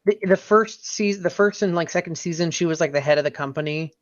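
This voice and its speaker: A man's voice: fairly high pitch, a little fast, no echo. A man co-hosting a podcast in casual chat, recorded with slightly lo-fi sound. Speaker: podcast co-host.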